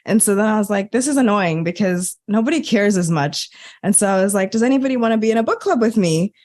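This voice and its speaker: A woman's voice: medium pitch, animated, fast talker, a little mellow. A woman guest on a podcast, an Enneagram coach, chatting casually and acting out her own quoted thoughts. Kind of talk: casual conversational storytelling.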